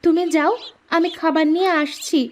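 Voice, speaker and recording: Young cartoon daughter, gentle and obedient, voiced by a woman, very high-pitched. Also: steady tone, normal speed. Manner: soft, obedient, pleading. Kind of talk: cartoon voice acting.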